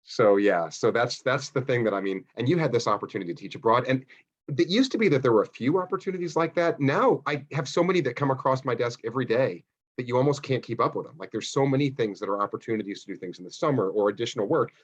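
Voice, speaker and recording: A man's voice: medium pitch, animated, fast talker, breathy edge, highs cut off. A man moderating a casual panel discussion, rambling and enthusiastic with frequent restarts.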